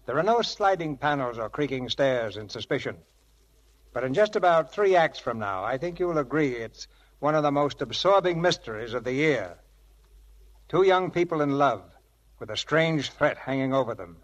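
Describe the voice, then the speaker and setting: A man presenting scripted 1940s radio theatre as host and narrator, with grand, measured showmanship. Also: medium pitch, animated, lo-fi sound. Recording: vintage radio broadcast with music.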